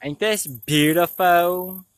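A man's voice: sits high, slow, no echo. A man in his twenties chatting casually to viewers as he vlogs on a nature trail, recorded outdoors on a lapel mic. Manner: addresses viewers casually as y'all. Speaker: vlogger. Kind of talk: casual outdoor vlog chat. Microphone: small clip-on lapel mic.

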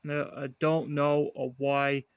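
A man hosting a casual amateur game showcase, speaking hesitantly in broken fragments with long pauses, recorded on a handheld camera. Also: mid-range voice, level tone, clean tone, lo-fi sound. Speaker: amateur video host showing a handheld game.

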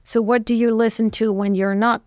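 A woman's voice: fairly high pitch, level tone, moderate pace, darkish tone, no room sound. A woman radio host asking guests friendly, rambling questions in a studio.

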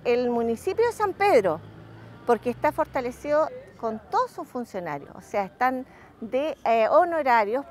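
A middle-aged Chilean woman speaking spontaneously in an outdoor interview, halting and repeating words. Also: high voice, slight background noise. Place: outdoors near a building. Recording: faint outdoor ambience.

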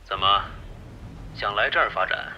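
A man's voice: on the low side, moderate pace, highs cut off, slight background noise, lightly acted. A man playing a cold, ruthless gang boss in an audio drama, dismissive, unflappable and coolly sardonic.

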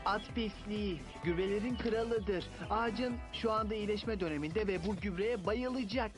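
A woman voicing a calm, knowledgeable youngster who explains things matter-of-factly in a children's cartoon dub. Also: mid-range voice, moderate pace, over music, close to an everyday voice. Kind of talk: Turkish-dubbed children's cartoon acting.

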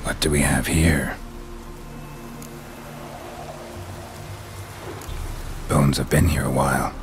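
A man voicing a stoic, blunt, world-weary monster hunter in a video game, terse and deadpan with a gravelly voice. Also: extremely low pitch. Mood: musing. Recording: loud music bed.

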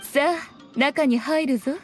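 A sly fox shrine maiden speaks in a high, composed voice. Her phrasing is archaic and haughty, playfully teasing, in anime game style with music behind. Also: measured pace. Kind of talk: anime video game acting.

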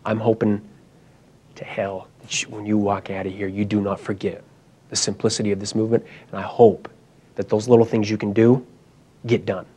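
A man's voice: lowish voice, little pitch movement, normal speed, mellow, husky. A young man giving an emphatic, urgent motivational talk at a conference, speaking into a handheld mic in a hall.

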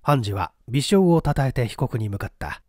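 Male reader for mystery fiction: expressive scripted narration, dry close sound. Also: medium pitch, normal speed, dry recording. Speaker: audiobook reader.

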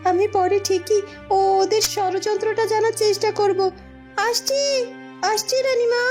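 A loyal young maidservant from a cartoon fairy tale, played by a woman, earnest and watchful. Her voice is extremely high and softly toned, over light music. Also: fairly even intonation, unhurried. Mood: flustered. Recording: music behind the voice.

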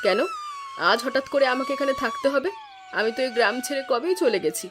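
A woman's voice: high voice, lively intonation, fast, noisy background. A woman playing a kind, long-suffering village aunt in a moral-tale cartoon, with a plaintive, dignified delivery. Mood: hurt, reproachful. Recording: prominent music behind the voice.

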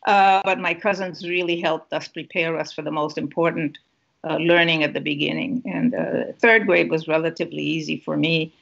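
A woman's voice: low voice, fairly even intonation, moderate pace, creaky, a bit bright. A woman in her seventies from Puerto Rico with a Puerto Rican accent, an author talking unhurriedly about her life on a video call from home. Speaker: author and guest. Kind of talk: conversational interview and book reading. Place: home room with a lamp. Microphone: laptop webcam video call. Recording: muffled video call audio.

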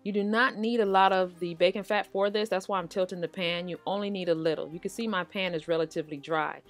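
An American woman hosting a cooking show, narrating a recipe casually and talking directly to viewers in a conversational way. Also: fairly low pitch. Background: background music.

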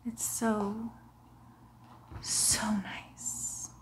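A young woman playing a sinister, coaxing vampire who poses as a doctor, in a hushed, often whispered, muffled ASMR roleplay. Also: medium pitch, little pitch movement. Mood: soothing, entranced. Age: young adult. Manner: slow, hushed, often whispering, soothing, deliberate. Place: living room, lying on a sofa.

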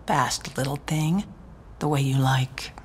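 A sultry video game femme fatale, low-pitched and breathy. She purrs her lines teasingly, over a faint rumble. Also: slow pace. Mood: seductive. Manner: purring, teasing. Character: sultry, seductive female crime fixer. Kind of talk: cinematic video game acting.

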